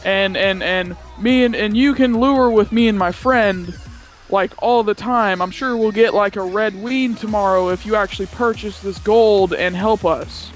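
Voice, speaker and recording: A man's voice: high-pitched, unhurried, faint music behind. A young man chatting casually as he tells a gaming story, giggly and rambling, often repeating himself. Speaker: gamer telling a story.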